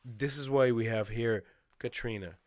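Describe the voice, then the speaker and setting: Uomo sboccato che chiacchiera in podcast. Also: male voice, mid-range voice, moderate pace, no echo, clean studio sound. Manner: swears often, laughs a lot, animated hand gestures. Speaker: podcast host. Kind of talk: casual podcast chat. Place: small home studio with foam panels. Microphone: headphones and studio mic on boom arm.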